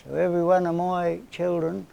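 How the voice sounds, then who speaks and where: Gravelly older Australian man yarning. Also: high-pitched, completely flat delivery, moderate pace, dark tone. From northern New South Wales, Australia. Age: 70s. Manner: plain-spoken, rambling storyteller with everyday slang. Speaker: interviewee, community elder. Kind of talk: storytelling in casual conversation.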